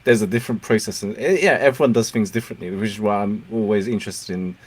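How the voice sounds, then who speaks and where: A man's voice: on the low side, quick pace, breathy edge. A man whose first language is Cantonese, hosting a casual interview, encouraging and laughing often. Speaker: podcast host.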